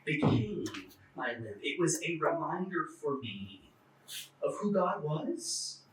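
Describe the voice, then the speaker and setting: A man with an occasional stutter giving a personal, sermon-like talk as clergy, in measured short phrases with deliberate pauses. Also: a little high, sing-song, a little hiss or hum. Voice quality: stutter, occasional broken fluency. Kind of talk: personal testimony sermon at a church forum. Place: echoey indoor church forum space. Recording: echoey room, distant microphone.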